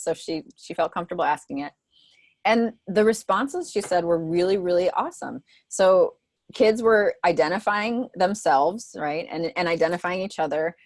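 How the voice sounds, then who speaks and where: A woman's voice: medium pitch, dry recording, clean studio sound. A woman presenting to teachers on a mentoring video call, talking conversationally with frequent "um" and "right?" asides.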